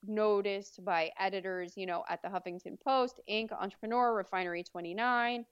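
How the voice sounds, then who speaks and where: Deep-voiced woman, smooth and chatty. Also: moderate pace, no room sound, lo-fi sound. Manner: relaxed, chatty, with fillers and easy laughs. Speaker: PR agency owner, guest. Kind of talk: casual interview.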